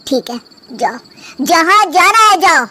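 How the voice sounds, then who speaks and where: A woman's voice: very high-pitched, animated, slow, sharp tone, exaggerated voice. A woman voicing a stern, exasperated young husband in a moral story, with a reproachful way of questioning. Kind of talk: Hindi moral-story drama.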